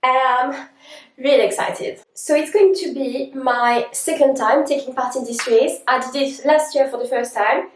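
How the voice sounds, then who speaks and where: A woman vlogging about her running plans, upbeat and methodical as she lists her race times. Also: high-pitched. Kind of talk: talking to camera. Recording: roomy echo.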